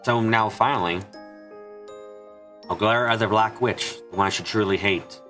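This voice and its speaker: Low-voiced man reading dramatically, with pauses. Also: sharp tone. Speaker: amateur fan dubber. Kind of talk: dramatic scripted reading of a visual novel. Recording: music behind the voice. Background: background music.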